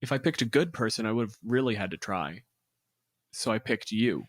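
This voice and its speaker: A man with a fairly deep voice talks casually with collaborators. He is blunt and swears easily, and the sound is close and dry. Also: expressive, moderate pace, no room sound. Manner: blunt, profane. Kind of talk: casual planning chat.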